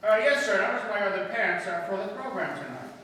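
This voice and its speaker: A man playing an anxious, down-on-his-luck theft suspect, halting and pleading, in a 1950s police radio drama. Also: sits high, lively intonation, bright, forceful tone. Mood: anxious denial. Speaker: amateur radio-play actor. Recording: echoey hall from far off, faint noise.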